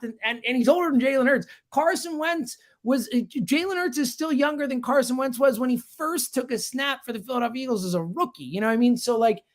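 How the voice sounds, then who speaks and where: A man giving sports analysis in conversation, speaking emphatically and repeating himself to drive points home. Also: very high voice. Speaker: sports radio host as guest analyst.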